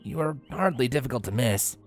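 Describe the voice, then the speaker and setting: A man's voice: mid-range voice, lively intonation, brisk, gravelly, soft background music. A man voicing a cheeky young male dragon in a story, evasive and snickering. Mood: teasing. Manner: snorting, stammering, holding back laughter.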